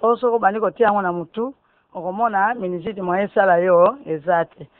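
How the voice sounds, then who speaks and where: A woman, calmly explaining a habit. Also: mid-range voice, fairly quick, no echo. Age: 60s. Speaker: interviewee. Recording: narrow phone-like band.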